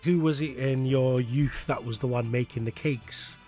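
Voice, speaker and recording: A man's voice: medium pitch, fairly even intonation, moderate pace, warm tone, soft background music. A man asking questions casually as a radio interviewer.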